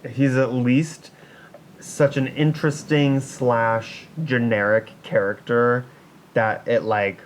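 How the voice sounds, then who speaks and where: A woman's voice: rumbling low, fairly even intonation, slow pace, slight background noise, rough recording. A woman co-hosting a podcast in casual chat, chiming in briefly and agreeably. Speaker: podcast co-host.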